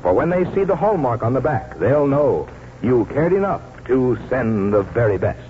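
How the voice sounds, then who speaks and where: A man's voice: mid-range voice, big pitch swings, moderate pace, a little hiss or hum. A man announcing in polished, formal 1940s radio commercial style, reading from a script. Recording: tinny 1940s radio, faint music.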